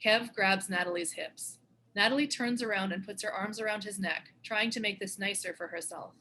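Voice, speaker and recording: Woman, scripted stage-direction narration, bright forward voice, light noise behind. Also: medium pitch, normal speed.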